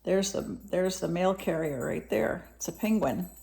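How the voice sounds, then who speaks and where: A woman's voice: lowish voice, measured pace, gravelly, mellow. A woman teacher reading a storybook aloud to young children, expressively, with simple explanatory asides.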